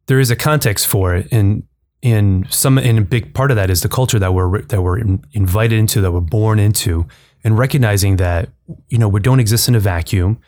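A quick-talking host from Nicaragua with a lowish voice, recorded dry and close on the mic. He grew up speaking Spanish and English and keeps the chat moving with ready affirmations. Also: no echo. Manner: fast, warm affirming interjections. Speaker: podcast host. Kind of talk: conversational interview.

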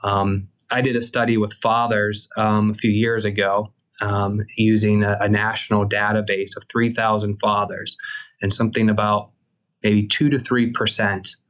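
A man, a psychology professor, explaining research findings in a measured podcast interview with frequent pauses. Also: fairly low pitch, level tone, a bit bright, muffled recording.

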